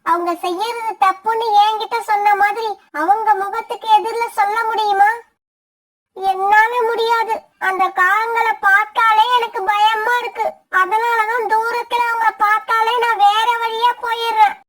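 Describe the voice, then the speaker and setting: பெண் நடிக்கும் பயந்த சிறு பறவை, கீச்சுக் குரல். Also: female voice, extremely high pitch, level tone, a little slow, fairly bright tone. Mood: worried. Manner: fearful, cautioning. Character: timid young female small forest bird, cautious and resigned. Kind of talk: animated moral story dialogue.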